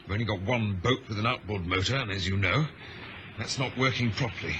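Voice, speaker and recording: An actor playing a dutiful, brisk chief officer aboard a ship in a radio drama, on a noisy vintage recording. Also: male voice, fairly low pitch, lo-fi sound, lightly acted. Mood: tense, dutiful. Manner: brisk, deferential to superiors.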